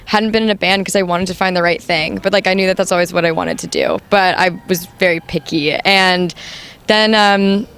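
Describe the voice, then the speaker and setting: A young adult woman with a high voice, the band's new singer, answering interview questions casually with many "like"s and "um"s. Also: steady tone, fairly quick, a bit bright, smooth. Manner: chatty, full of "like" and "um".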